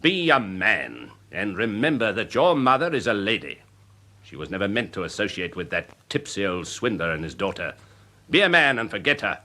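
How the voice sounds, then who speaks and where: An actor playing a worldly, domineering older uncle, a snobbish major with an upper-class English accent, in a period radio drama. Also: male voice, lowish voice, big pitch swings, moderate pace, sharp tone. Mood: scornful, commanding. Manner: pompous, condescending, clipped authority.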